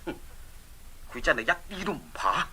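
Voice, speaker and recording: A man's voice: on the high side, very animated, bright, forceful tone, a little hiss or hum, heavily acted. A man with a Hong Kong accent playing a scheming, menacing king in a children's radio drama, with mocking, commanding delivery. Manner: mocking, drawn-out threats.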